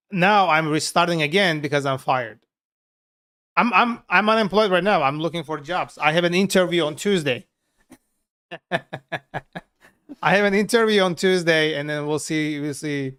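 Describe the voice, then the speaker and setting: A man from Turkey with a Turkish accent, a software developer chatting frankly and with profanity to his viewers while coding live. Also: on the high side, clean tone. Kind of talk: casual live coding stream chat.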